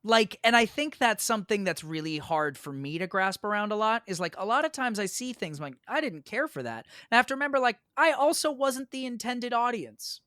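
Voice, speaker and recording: American guy guesting on a podcast, crisp bright voice, emphatic, really dry sound. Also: high-pitched, lively intonation, brisk, hardly any pauses, no room sound. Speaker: podcast guest, theatre performer. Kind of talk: casual podcast chat.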